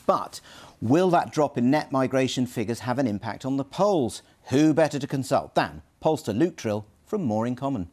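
A man presenting a studio panel discussion, putting brisk, probing questions to his guests. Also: mid-range voice, lively intonation, a little mellow. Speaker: TV news presenter.

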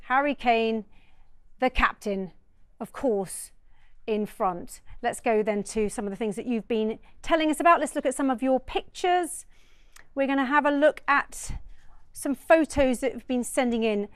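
A woman's voice: fairly high pitch, clear, resonant tone, no echo. A woman presenting a live football show in an upbeat, chatty way. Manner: chatty, upbeat, laughs easily.

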